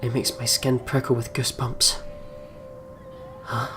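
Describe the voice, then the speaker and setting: Lady doing a lets play, really low voice, reads story lines all dramatic, sweary, bit of music behind. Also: unhurried. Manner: dramatic, expressive reading with profane asides. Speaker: let's play video host. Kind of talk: voiceover reading of game narration with candid reactions. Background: game music.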